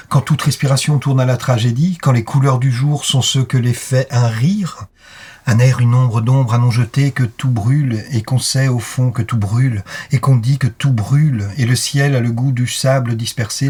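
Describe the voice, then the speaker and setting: A man's voice: medium pitch, a little mellow, husky. A man reciting poetry dramatically, in short, insistent, incantatory phrases. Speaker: poetry reader.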